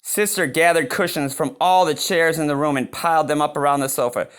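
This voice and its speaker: A man reading a picture book aloud as host, expressive and given to chatty reactions to the story. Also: on the high side, brisk, smooth. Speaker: read-aloud reader and channel host.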